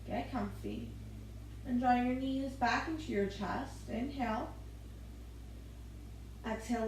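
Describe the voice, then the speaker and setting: A woman guiding a gentle yoga session at an unhurried, drawn-out pace, calling out breaths and poses one by one. Her voice rings around a very echoey room, with a faint hiss underneath. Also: a little high. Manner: soft step-by-step breathing cues with light laughs. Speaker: yoga instructor. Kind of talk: guided gentle yoga class.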